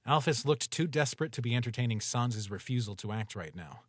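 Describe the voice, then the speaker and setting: A man's voice: medium pitch, expressive, fairly quick, no room sound. Man narrating a story, dry sound.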